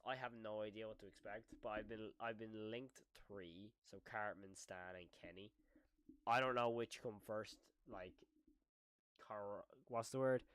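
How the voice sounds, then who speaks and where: A man's voice: fairly low pitch, fairly bright tone, clear, resonant tone, no echo. A man doing casual live reaction commentary as a streamer, rambling and thinking aloud with some mild swearing.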